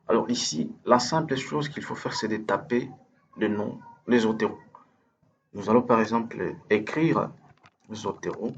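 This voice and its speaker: A man with French as his first language, giving a hesitant, step-by-step software tutorial over a screen recording, full of fillers and restarts. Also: lowish voice, unhurried, vocal fry, long pauses, a little hiss or hum. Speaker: instructor.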